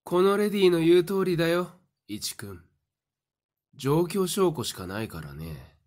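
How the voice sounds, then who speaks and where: Smug young male schemer for audio drama: suave, mocking, slow, swooping delivery. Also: on the high side, animated, a little mellow. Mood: smug, probing. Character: smug, theatrical young male madman relishing a deadly game. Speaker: voice actor. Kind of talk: anime-style voice drama acting.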